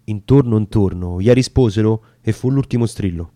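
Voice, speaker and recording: A man's voice: lowish voice, moderate pace, dark tone, no echo. A man with a Roman accent giving a solemn, theatrical recitation of narrative dialect poetry. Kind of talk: dramatic recitation of narrative verse.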